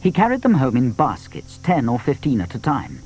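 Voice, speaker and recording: A man with a British accent narrating a scripted children's story in an expressive storytelling manner. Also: medium pitch, moderate pace, a little hiss or hum.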